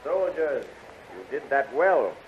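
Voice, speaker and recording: An actor playing an imperious, ruthless alien prince in a formal, theatrical 1930s radio serial style. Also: male voice, on the high side, very animated, slow pace. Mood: barking orders. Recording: hissy, muffled 1930s radio recording.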